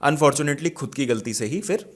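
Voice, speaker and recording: A man's voice: a little high, normal speed. A man with an Indian accent talks through football matches as a show host, conversational and opinionated, with emphatic asides. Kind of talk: casual football match analysis, mixing Hindi and English.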